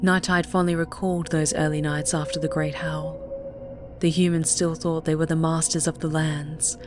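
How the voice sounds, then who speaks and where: A woman reads scripted fiction as an audiobook narrator. Her voice sits low, and her delivery is measured and dramatic. Faint music plays behind her. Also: flat delivery.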